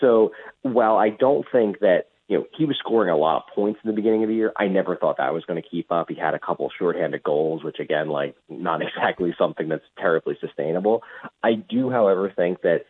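Deep-voiced man on a tinny phone line. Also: a little fast, slightly rough. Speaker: sports reporter. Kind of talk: analytical hockey talk on a radio interview.

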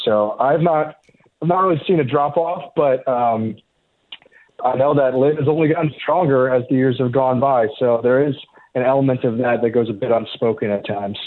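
A man's voice comes through a narrow, phone-like connection. He is a golf journalist being interviewed on sports radio, laying out his opinions in a conversational, explanatory and measured manner. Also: mid-range voice. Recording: thin, tinny phone-like connection.

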